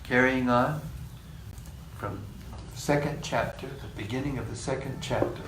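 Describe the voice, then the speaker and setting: A man leading a scripture class, reading passages in a measured way and joking with the group between them. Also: mid-range voice. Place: room with a live group. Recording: echoey room, distant mic, faint hiss.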